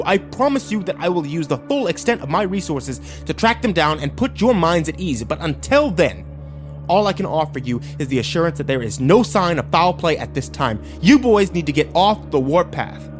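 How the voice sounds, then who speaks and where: A man's voice: on the high side, lightly acted. A man playing a middle-aged sheriff and father in a dramatic story, stern, weary, firm and authoritative. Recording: music behind.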